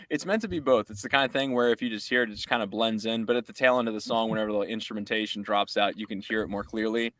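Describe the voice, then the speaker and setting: A man's voice: fairly low pitch, fast talker, a bit bright, no echo. A man, a musician guest, chatting casually and rambling upbeat about making a song. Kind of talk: casual chat.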